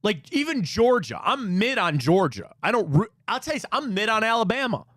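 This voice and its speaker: Lively opinionated sports talk host. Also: male voice, high voice, moderate pace, no echo, studio quality. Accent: Midwest, Cleveland. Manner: animated, opinionated rambling with restarts. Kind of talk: casual sports talk chat.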